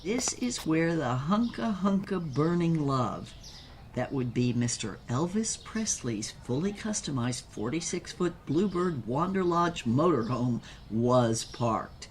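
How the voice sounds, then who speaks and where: A woman's voice: low-pitched, animated, slow pace, a little mellow, a little hiss or hum. An actress playing a brassy, worldly old former film starlet, telling her story in a knowing, theatrical way in an audio drama. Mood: nostalgic, knowing.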